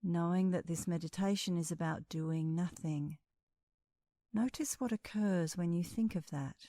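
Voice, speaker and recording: A woman leading a guided meditation as an instructor, speaking in a calm, soothing, measured way with long pauses. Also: on the low side, no echo, clean studio sound.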